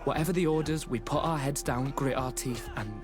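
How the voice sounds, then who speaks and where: An actor playing a young male guard recruit who is bitter, frightened and guilt-ridden, confiding gravely in video game dialogue. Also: medium pitch, fairly quick, music in the background, natural voice.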